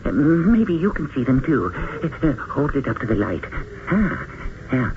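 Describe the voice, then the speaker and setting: Desperate, haunted man, swooping pitch. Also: big pitch swings. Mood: dreamy, fervent. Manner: halting, fervent, insistent. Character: desperate, mystical down-on-his-luck man, earnest and haunted. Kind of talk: old-time radio drama acting. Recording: dull, hissy old radio broadcast.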